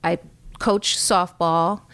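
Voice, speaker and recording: A woman in her forties from New York City whose first language is Spanish, talking earnestly and thoughtfully as a guest with frequent fillers. Her voice is smooth and resonant, sitting a little low, and the recording is dry and close. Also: steady tone, drawn-out words, fairly bright tone, no room sound. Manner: reflective, earnest, many fillers. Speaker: guest, fire chief. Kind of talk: casual interview conversation.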